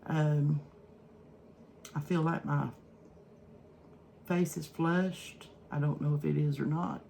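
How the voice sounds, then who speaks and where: An older woman talks in an Eastern Kentucky accent, unhurried and plainspoken, with frequent halts. Her tone is mellow and relaxed, in a casual home-cooking chat. A phone microphone picks up faint noise behind her. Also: low-pitched, gravelly, poor audio. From Eastern Kentucky, USA. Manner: slow, halting, folksy plain talk. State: feeling weak and unwell. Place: at home, indoors in a kitchen. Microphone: iPhone, no separate microphone.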